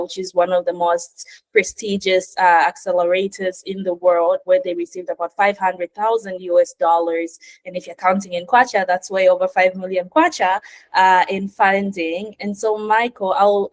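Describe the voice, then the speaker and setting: A woman hosting an online webinar interview over a video call, enthusiastic and warm in her questions, with frequent "uh" fillers. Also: mid-range voice. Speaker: webinar host.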